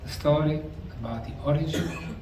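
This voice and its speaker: A middle-aged man slowly narrating a documentary on location at ancient ruins, explaining the sites like a tour guide. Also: fairly high pitch, mellow, slight background noise. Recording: roomy, distant mic with faint outdoor ambience.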